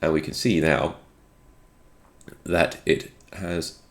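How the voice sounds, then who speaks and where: Man, low-pitched, congested from a cold, musing technical walkthrough, unscripted. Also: fairly even intonation, slow, a bit bright. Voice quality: slightly congested. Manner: thinking aloud, musing. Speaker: host of a retro game programming video.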